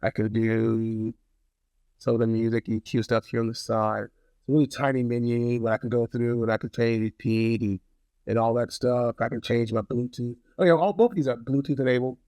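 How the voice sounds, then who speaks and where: Male product reviewer, gravelly edge, dry sound, chatty and informal, repeats himself for emphasis. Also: mid-range voice, steady tone, normal speed, warm tone, no echo. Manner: conversational, repeats phrases for emphasis. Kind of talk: casual hands-on review to camera.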